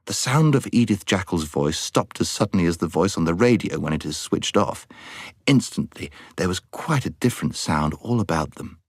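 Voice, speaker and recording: A man narrating a storybook as an audiobook reader, with dramatic, measured phrasing. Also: low voice, animated, no echo, studio quality.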